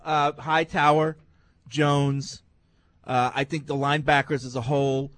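A man's voice: medium pitch, little pitch movement, unhurried, a bit bright, no room sound. Male host, agreeable, hedges his takes; dry studio sound. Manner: agreeable, hedging. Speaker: radio sports host. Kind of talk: sports talk radio.

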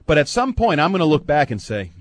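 Fast, lively man co-hosting sports radio. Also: fairly high pitch, no room sound. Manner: opinionated, emphatic, talks in long runs. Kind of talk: sports talk radio chat. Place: radio studio.

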